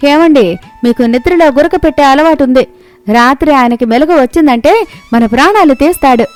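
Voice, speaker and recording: An anxious village wife from a storybook, acted by a woman. She sounds worried and alarmed in a high voice, with faint music behind. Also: normal speed, natural voice.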